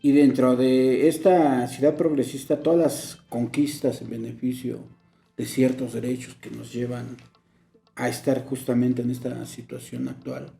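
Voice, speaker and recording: Mexican male politician, gravelly and very dark, echoey recording. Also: mid-range voice, steady tone, unhurried, faint music behind. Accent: Mexican Spanish. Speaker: local legislator as guest. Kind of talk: political conversation. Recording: roomy echo.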